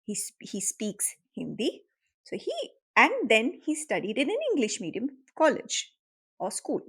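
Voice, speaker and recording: Middle-aged indian woman on a podcast, earnest, kinda high voice, chats with her daughter. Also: big pitch swings, a little slow, fairly bright tone, husky. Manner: reflective, earnest, laughs easily. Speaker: podcast co-host, mother. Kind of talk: casual conversation.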